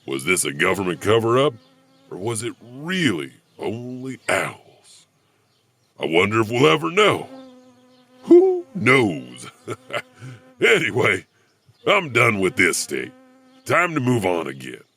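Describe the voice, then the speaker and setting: A man's voice: fairly low pitch, huge pitch swings, takes it slowly, long pauses, sharp tone, slightly rough, full character voice. A man playing a creepy, sardonic wandering janitor who narrates horror stories theatrically with chuckling asides. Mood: musing, sardonic. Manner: sardonic, chuckling, rhetorical questions. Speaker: host of horror anthology podcast.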